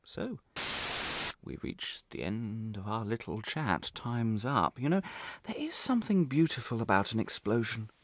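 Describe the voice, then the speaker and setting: An actor playing an embittered, vengeful former prisoner in a radio play monologue, deliberate and theatrical in his menace, swinging between hushed and agitated. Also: fairly low pitch, very animated, slight background noise. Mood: taunting, brooding.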